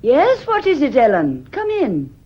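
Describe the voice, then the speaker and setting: A woman's voice: sits high, huge pitch swings, a little slow, a little hiss or hum, full character voice. An actress playing a genteel, uneasy lady's companion with a British accent, clipped and commanding, in a period radio mystery. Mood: irritable. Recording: dull, muffled old radio recording.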